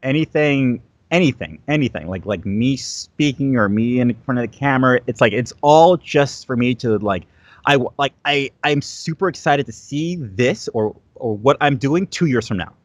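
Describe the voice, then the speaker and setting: A man's voice: mid-range voice, lively intonation, normal speed, no room sound, lo-fi sound. A man talking as a guest in a casual interview, rambling and repeating his words with many fillers. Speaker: guest content creator.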